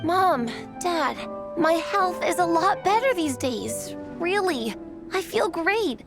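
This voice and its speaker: An actress playing a gentle, sincere young daughter in a video game. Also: female voice, very high voice, expressive, takes it slowly, over music, full character voice. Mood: reassuring. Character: sweet, earnest young artist daughter.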